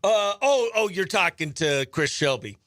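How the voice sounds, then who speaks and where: A man with a notably high, bright voice hosts a livestreamed radio show. He talks in a breezy, exclamatory way, moving between casual chatter and excitable shout-outs to his audience. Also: measured pace. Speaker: radio host.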